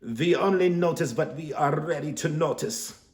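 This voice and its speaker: A man's voice: fairly low pitch, sing-song, slow pace, heavily acted. A man voicing a bossy, stout female psychic with a thick accent, who talks in a brusque, commanding way. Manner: brusque, commanding, loud and drawn out.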